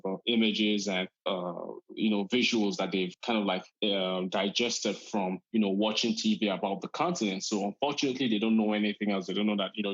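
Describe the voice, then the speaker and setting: A man in his thirties talks earnestly and at length as a podcast guest, circling back with restarts and a steady stream of "you know". His voice sits on the low side. The recording is dry and a little dull, with no room sound. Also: no echo. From Nigeria. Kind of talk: casual conversational interview.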